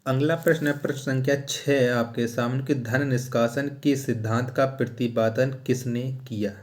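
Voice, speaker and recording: A man's voice: mid-range voice, fairly even intonation, normal speed. Male teacher, instructional delivery. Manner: repetitive, asks short questions then repeats answers. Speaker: teacher for competitive exam general knowledge. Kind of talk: quiz-style lecture, reading questions and answers.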